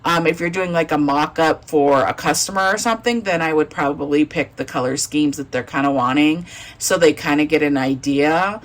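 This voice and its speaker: A woman casually demonstrating embroidery design software step by step, thinking aloud as she clicks. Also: deep voice, moderate pace. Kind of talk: casual screen-recorded software tutorial.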